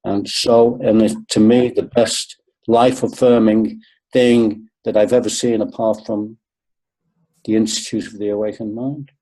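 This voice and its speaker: A man's voice: fairly low pitch, little pitch movement, unhurried, warm tone, poor audio. A man in his sixties, a guest on a webinar video call, talking earnestly and repeating points for emphasis. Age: 60s. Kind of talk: webinar conversation.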